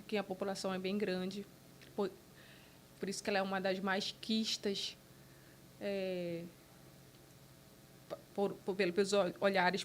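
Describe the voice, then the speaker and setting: A woman from Brazil talks as a guest on a podcast, mid-pitched and earnest. She speaks in short, halting bursts and often restarts her sentences. A faint rumble sits under the recording. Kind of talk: casual interview conversation.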